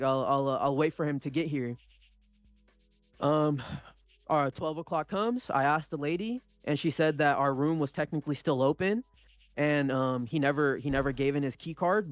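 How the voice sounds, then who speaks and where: A man's voice: fairly high pitch, no echo. A young man, Punjabi-speaking by birth, telling a story in a casual podcast chat, with frequent restarts and swearing. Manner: rambling, many restarts and swearing. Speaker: podcast co-host.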